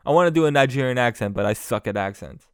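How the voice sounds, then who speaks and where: A young man rants alone into his podcast mic, cursing, mocking, and tripping over his own restarts. His voice sounds smooth and clear, and the recording is close and dry, with no room sound. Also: mid-range voice, animated, fast talker, no echo. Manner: profane, mocking, rambling with many restarts. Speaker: podcast host. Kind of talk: solo comedic rant.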